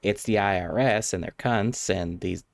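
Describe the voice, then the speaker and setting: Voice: deep voice, normal speed, gravelly, dry recording. A game streamer giving casual live commentary in a sweary, exasperated, argumentative manner.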